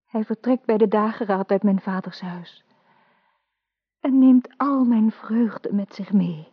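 An actress playing a lovesick, yearning young noblewoman with a breathy, hushed voice in a radio drama. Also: medium pitch, takes it slowly. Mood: sad, timid. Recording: dull lo-fi old radio recording.

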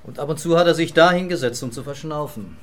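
An actor playing a composed police commissioner, terse and measured, in a radio crime drama. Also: male voice, fairly high pitch, lightly acted. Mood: sober, matter-of-fact.

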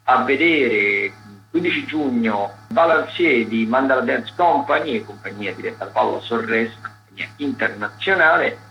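A man with a bright, forceful voice runs through a radio agenda of cultural events. He speaks conversationally at a middle pitch, often halting to correct himself or add an aside. Faint music plays behind him. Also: lively intonation, muffled recording. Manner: halting, digressive delivery with self-corrections. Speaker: radio culture critic presenting a theatre agenda. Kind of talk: chatty live listings commentary.

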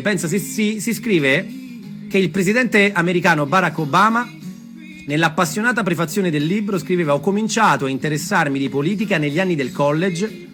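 A man telling stories and reading texts aloud as a theatre performer, expressive and deliberate in his delivery. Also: sits high. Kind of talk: storytelling with dramatic readings. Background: music.

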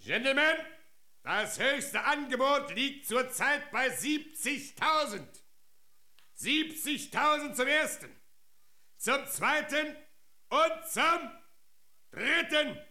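An actor playing a brash, domineering male gold digger in a radio drama, declaiming loudly like a bullying auctioneer. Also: very high voice, expressive, takes it slowly, long pauses, exaggerated voice. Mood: bossy, rousing.

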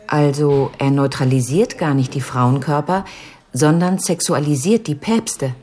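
Deep-voiced, inquisitive woman. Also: measured pace, warm tone, husky. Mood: probing, thoughtful. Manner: questioning, tentative, thoughtful. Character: inquisitive, thoughtful great-niece of a patient. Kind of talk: radio drama dialogue.

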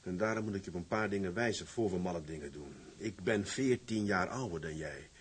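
An actor playing a gruff, reserved middle-aged seafarer with a tender side in a drama, speaking bluffly and colloquially. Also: male voice, low voice, a little fast. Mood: sober, earnest. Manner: bluff, mildly swearing, colloquial. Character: gruff, reserved seafaring man, older suitor, tender underneath. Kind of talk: dramatized dialogue acting.

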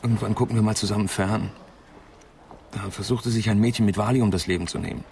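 Bedrückter junger Student, leicht knarrend. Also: male voice, lowish voice, fairly even intonation, creaky, darkish tone, slight background noise. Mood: subdued, guilty. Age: young adult. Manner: halting, subdued, confessional. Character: troubled young male medical student, devoted to his flatmate. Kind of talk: German radio crime drama acting.

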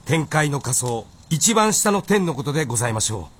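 A man's voice: a little high, big pitch swings, brisk, a bit bright. A man playing a learned, deferential samurai vassal with formal, careful, pedantic speech in a period radio drama.

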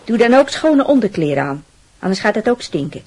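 A woman's voice: medium pitch, very animated, a little fast, a little mellow, husky. An actress playing a bossy, teasing woman of the household in a radio drama, with a Netherlands Dutch accent. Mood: teasing, brisk.

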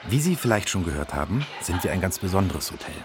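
A man's voice: low-pitched, sing-song, fast talker, noisy background. A man playing a courteous hotel page who formally narrates a radio play as a guide for guests. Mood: polite welcome.

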